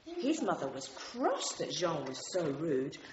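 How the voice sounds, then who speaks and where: A nursery teacher speaks to small children in a radio drama, brisk and controlled. Her pitch moves in a lively way, and the sound is echoey and rather dull. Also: exaggerated voice. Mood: storytelling. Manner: clear short instructions, animated. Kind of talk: acted classroom talk and storytelling. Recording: roomy, dull, noisy classroom sound.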